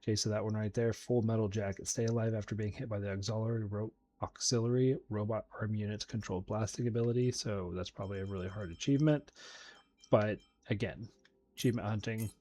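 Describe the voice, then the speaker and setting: Low-voiced man narrating his gameplay. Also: steady tone, quick pace, warm tone. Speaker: gaming video host. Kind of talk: casual gameplay commentary.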